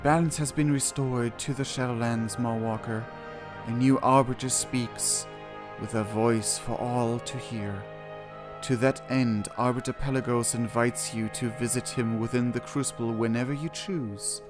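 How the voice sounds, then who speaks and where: A man streaming a game, reading quest text aloud and reacting casually, with excitable exclamations and hesitant, self-correcting commentary. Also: medium pitch, a little slow. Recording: music behind the voice. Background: game music.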